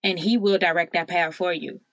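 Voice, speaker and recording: A woman co-hosting a podcast, warmly affirming her partner and quoting scripture in casual chat. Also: lowish voice, steady tone, normal speed, dry recording. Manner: affirming, encouraging. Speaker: podcast co-host.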